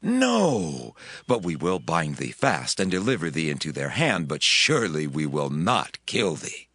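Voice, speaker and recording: Sharp-voiced, menacing ancient townsman. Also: rumbling low, wildly swooping pitch, unhurried, slightly rough, no room sound, full character voice. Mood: firm. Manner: emphatic, declamatory. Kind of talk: dramatized scripture acting.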